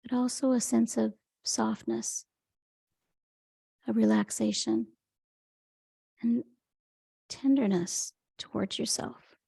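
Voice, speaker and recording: Soft, creaky woman guiding meditation. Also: a little high, steady tone, dry recording. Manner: gentle, unhurried, soothing instruction. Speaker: meditation teacher. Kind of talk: guided meditation, Yoga Nidra.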